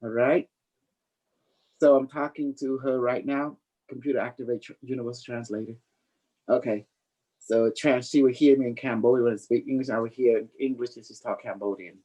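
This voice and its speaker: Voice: mid-range voice, steady tone, moderate pace, mellow, rough recording. A psychic reader hosting a casual livestream, boastful and playful, rambling in non-standard English grammar. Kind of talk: casual improvised psychic reading chat.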